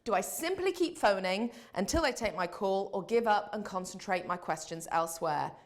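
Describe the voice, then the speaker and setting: A woman's voice: medium pitch, lively intonation, moderate pace. A woman hosting a business advice show, giving scripted coaching to camera in a polished, instructive and emphatic way.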